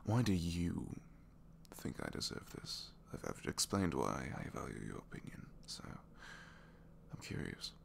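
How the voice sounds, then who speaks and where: A man playing a captured, reluctantly evil supervillain in a romantic ASMR roleplay, bashful and lovestruck, speaking softly and haltingly and slipping into whispers. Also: rumbling low, sing-song, gravelly, full character voice. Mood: earnest, vulnerable. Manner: halting, self-deprecating, soft confiding delivery slipping into whispers.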